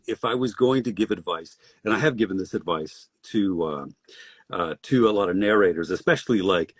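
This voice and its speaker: A man's voice: fairly low pitch, slightly rough, no room sound. A man, an audiobook narrator, chatting in an interview in a thoughtful, rambling way with many restarts. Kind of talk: casual interview chat.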